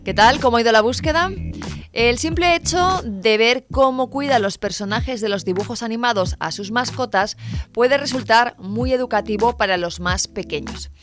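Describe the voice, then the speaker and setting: A woman's voice: fairly high pitch, moderate pace. A woman narrating a light scripted list video in a playful, wry, questioning manner. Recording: music behind the voice. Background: music.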